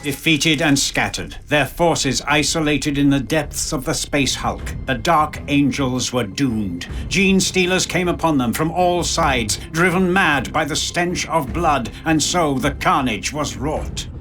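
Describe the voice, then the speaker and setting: A male narrator with a fairly high pitch tells a grim game story. His delivery is scripted, dramatic and grave, over faint music. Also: lively intonation, unhurried, few pauses, a bit bright.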